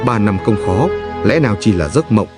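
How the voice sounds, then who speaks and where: A man voicing an honest, gentle, hardworking young farmhand in a cartoon fairy tale, speaking earnestly. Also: on the low side, expressive, normal speed, close to an everyday voice. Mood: hurt. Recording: music behind the voice.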